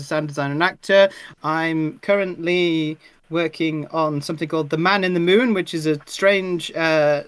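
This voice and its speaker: A man chatting casually on a livestream as a guest, speaking a little haltingly. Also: a little high, a bit bright, clear, resonant tone, dry recording. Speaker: guest audio drama writer and actor.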